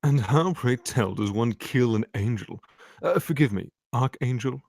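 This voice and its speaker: A man playing a raspy, sardonic London physician. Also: medium pitch, expressive, a little slow, darkish tone. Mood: wry relish. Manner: sardonic, theatrical, mock-polite. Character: wry, impatient London physician with morbid relish. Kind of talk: dramatic screenplay table read.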